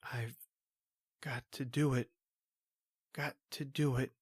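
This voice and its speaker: Low voiced lady doing a gruff protective policeman guy, old radio horror play, melodramatic, dry close sound. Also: steady tone, slow, darkish tone, no room sound, exaggerated voice. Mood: entranced, strained. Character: stoic, protective male country police officer. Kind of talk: old-time radio horror drama acting.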